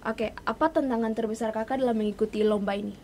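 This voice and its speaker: Female interviewer, young adult, moderately high pitch, casual and conversational. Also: steady tone, fast, a little mellow, slight background noise. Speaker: interviewer, host.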